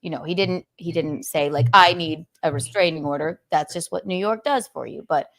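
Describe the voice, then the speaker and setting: A woman's voice: deep voice, moderate pace, fairly bright tone, rough recording. Playful woman in her thirties hosting a call-in show. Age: 30s. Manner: playful, teasing, affectionate. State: a little tired. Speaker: podcast host. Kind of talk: casual call-in show chat.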